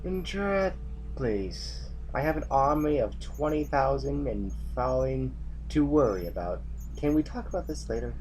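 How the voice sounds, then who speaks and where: A man's voice: mid-range voice, animated, measured pace, darkish tone, close to an everyday voice, rough recording. The stern officer in a sci-fi radio play's command room, a man acting, exasperated and formal, voice swinging sharply over a music bed. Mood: strained, irritated. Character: weary, exasperated male army general of an idealistic rebellion. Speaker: actor in an audio drama. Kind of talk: audio drama acting.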